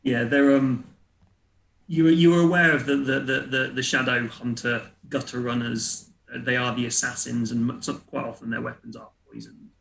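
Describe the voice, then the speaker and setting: A man acts as game master for a tabletop roleplaying session. His voice is mid-pitched and gravelly, caught in an echoing room. He explains things haltingly. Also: level tone, a bit bright.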